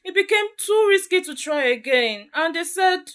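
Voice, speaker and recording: An actress plays a cornered young woman in an audio drama. She speaks in a very high voice, justifying herself, stumbling over words and pleading desperately. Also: expressive, normal speed, a bit bright. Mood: tearful explaining. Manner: pleading, stammering.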